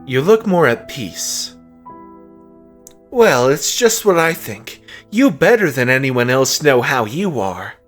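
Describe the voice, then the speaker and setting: A man voicing a gentle, frank cult follower creature in a comic dub, talking in a conversational, reassuring way. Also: medium pitch, very animated, slow, faint music behind, pitched above the performer's own voice. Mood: frank, coaxing.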